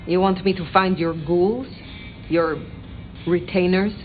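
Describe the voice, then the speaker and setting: Slow, lowish-voiced, formal vampire sheriff. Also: female voice, steady tone, narrow, tinny audio, faint music behind. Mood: probing. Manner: formal, measured, archaic phrasing. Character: formal, commanding female elder vampire sheriff, coolly direct. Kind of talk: video game dramatic dialogue.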